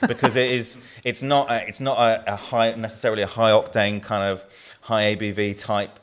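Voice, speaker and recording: A man's voice: lowish voice, level tone, normal speed, clean tone. A man with a southern English accent, a brewer being interviewed, who talks in a rambling way with many restarts. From southern England.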